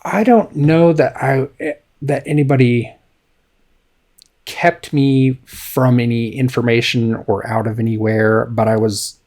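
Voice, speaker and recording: A man co-hosting a podcast, telling a story in casual chat, slowly and haltingly, with many fillers. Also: mid-range voice, fairly even intonation, darkish tone. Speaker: podcast co-host.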